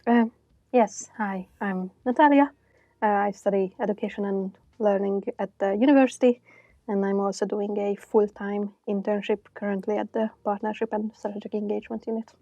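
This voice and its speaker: A woman's voice: mid-range voice, fairly even intonation, moderate pace, mellow, dry recording, highs cut off. A woman, a student and intern answering with many hesitant ums. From Hungary.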